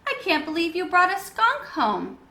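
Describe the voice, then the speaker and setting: Dramatic storybook girl voiced by a woman, bright, high, exclaiming, roomy recording. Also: huge pitch swings, moderate pace, heavily acted. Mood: amazed. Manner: exclaiming, sharp. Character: outspoken, dramatic young sister in a storybook. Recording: roomy echo with background noise.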